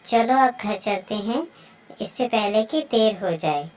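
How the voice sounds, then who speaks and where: A woman's voice: medium pitch, animated, unhurried, darkish tone, natural voice. A woman voicing an eager, playful young bird child in a children's moral story cartoon. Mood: comforting.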